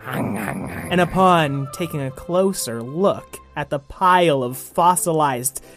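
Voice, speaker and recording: A man's voice: on the high side, slow pace, a bit bright, faint music behind. A man hosting a children's podcast, telling a story and interviewing guests in a conversational way.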